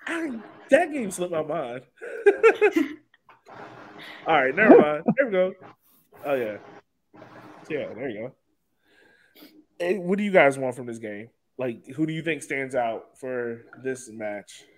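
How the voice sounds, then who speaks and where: A man's voice: on the high side, very animated, slow pace, pauses often, slight background noise. A man hosting a casual sports talk show, chatting in an informal, joking way. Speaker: podcast host.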